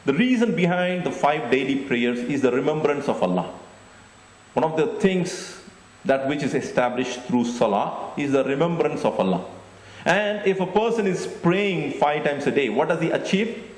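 High-pitched male preacher, echoey room. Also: expressive. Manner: deliberate, explanatory, repeats phrases. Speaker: Islamic preacher. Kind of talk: religious lecture. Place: indoor stage with curtain backdrop. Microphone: handheld microphone.

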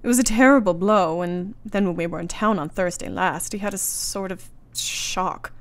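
A woman acts out a young wife in a radio play, concerned yet resolute. She is polite and precise, with a medium-pitched voice. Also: normal speed, natural voice. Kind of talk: radio drama acting.

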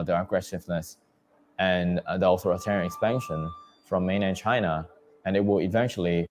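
Deep male activist in a clip, slow and deliberate, music bed. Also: darkish tone.

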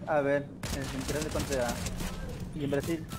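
A man from Peru with a Peruvian Spanish accent, chatting casually over voice chat while playing, explaining things calmly. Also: medium pitch, moderate pace, noisy background. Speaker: guest player.

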